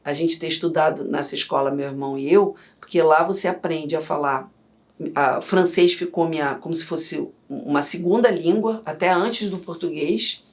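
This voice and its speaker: A middle-aged woman from Yugoslavia, chatting as an interview guest and telling stories in an animated way. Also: sits low, moderate pace. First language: Serbian. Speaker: guest, vocal coach. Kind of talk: casual interview chat.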